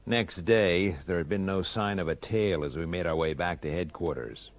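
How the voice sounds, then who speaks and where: An actor playing a gruff, irritable, middle-aged police detective in a radio drama, blunt in his delivery. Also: deep voice, moderate pace. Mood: brooding narration. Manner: blunt, hesitant, then explosive. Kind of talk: radio drama acting with first-person narration.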